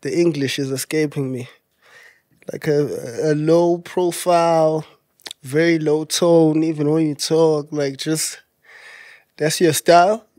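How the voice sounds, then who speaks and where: A young man hosts a casual podcast chat in a slow, unhurried drawl, his voice sitting fairly high for a man. He jokes around and piles slang on his guest, and the studio sound is dry and close. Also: fairly even intonation, darkish tone, dry recording. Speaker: podcast host.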